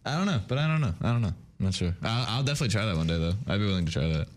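A man's voice: on the low side, big pitch swings. A young man co-hosting a college radio show, talking eagerly in casual banter. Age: young adult. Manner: enthusiastic, chatty, quick laughs. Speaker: radio co-host.

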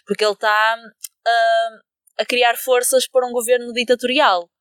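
A woman's voice: fairly high pitch, little pitch movement, moderate pace, fairly bright tone, clean tone, no room sound. Earnest young Portuguese woman, dry sound. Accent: European Portuguese. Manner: earnest, building arguments point by point. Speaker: podcast co-host. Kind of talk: casual podcast discussion.

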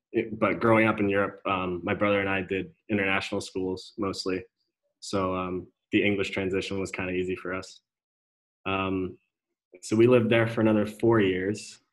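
Low, flat-voiced young man, halting. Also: poor audio. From Denmark. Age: young adult. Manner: hesitant, many ums and restarts. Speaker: guest. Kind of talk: casual interview chat.

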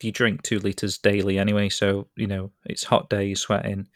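A chatty British man hosts a casual podcast, talking in a low voice that rambles along easily. The sound is close and dry, with no room around it. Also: flat delivery, moderate pace, dry recording. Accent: British English. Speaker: podcast host.

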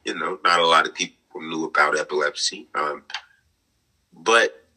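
A young man in his twenties with a very deep, bright and husky voice. He tells his story earnestly, pausing on fillers and laughing softly. He talks over a remote call. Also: rough recording. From United States. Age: 20s. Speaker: guest. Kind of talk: conversational interview, personal story.

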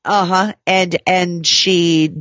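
A woman's voice: on the low side, slow, no room sound, dull audio. A woman co-hosting a casual podcast chat, talking frankly with many hesitations. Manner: frank, relaxed, hesitant fillers. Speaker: podcast co-host.

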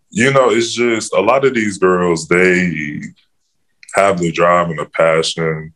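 A man with a very low, deep voice talks through his coaching in a relaxed, rambling way. He keeps dropping "you know" between his thoughts as he answers interview questions. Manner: easygoing, fills with "you know". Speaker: first-year girls' basketball coach.

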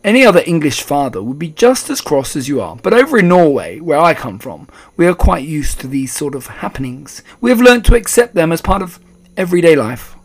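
Man voicing a calm, frosty old grandmother. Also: fairly high pitch, a little fast, voice pushed up. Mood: calm, patient. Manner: calm, matter-of-fact, unflustered.

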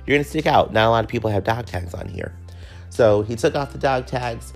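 A man's voice: mid-range voice, a little fast, creaky, faint music behind. A man hosting a podcast, talking casually and candidly in a personal, conversational monologue with some casual swearing. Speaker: podcast host.

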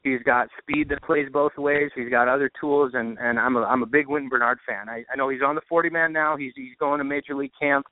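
A man speaking as a baseball announcer guest in a casual sports interview, thinking aloud haltingly and repeating words. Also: mid-range voice, flat delivery, no room sound. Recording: narrow phone-like line.

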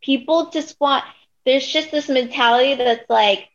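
A woman's voice: sits high, a little slow, lo-fi sound. A woman in casual discussion, rambling, with lots of fillers.

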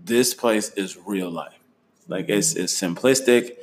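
A laid-back young man hosts a casual travel conversation. His voice sits a little low, and he talks in easy slang with frequent laughter. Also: slow, a little hiss or hum. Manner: relaxed, slangy, laughs easily. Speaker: host.

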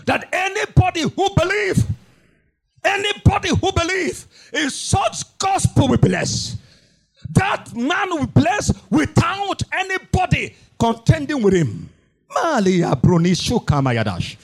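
A man preaching a fiery sermon, shouting and chanting in a sing-song cadence, repeating phrases and breaking into tongues. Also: very high voice, sing-song, slow.

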